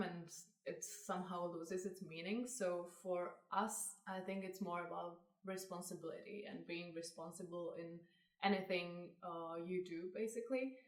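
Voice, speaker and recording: A young woman with a Czech accent speaks in a low, very even tone, measuring her words and leaning on "like" as a filler. The sound is roomy and distant, as if she sits away from the microphone. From Czech Republic. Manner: flat, measured, peppered with "like". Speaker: designer, co-founder of a clothing brand. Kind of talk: casual interview answers. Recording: echoey room, mic at a distance.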